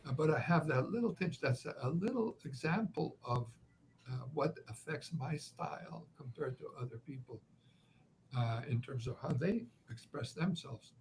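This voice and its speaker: A man from Canada with a slight Ukrainian accent, a writer and journalist giving measured, deliberate interview answers with frequent pauses. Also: mid-range voice, animated, a little mellow, slight background noise, poor audio. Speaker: guest, author and journalist.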